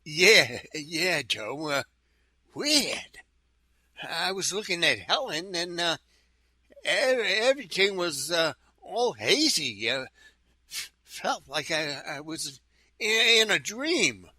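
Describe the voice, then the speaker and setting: Awkward, stammering detective's assistant , played by a man: very bright, forceful voice, slow halting delivery, dry sound. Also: fairly high pitch, sing-song, dry recording, full character voice. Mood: dazed, groggy. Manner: halting, stammering, full of hesitations. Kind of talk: noir audio drama dialogue.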